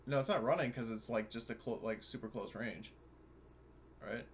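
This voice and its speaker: A man's voice: medium pitch, moderate pace, a crowd in the background. A man playing a tabletop roleplaying game, chatting casually and talking through his moves step by step.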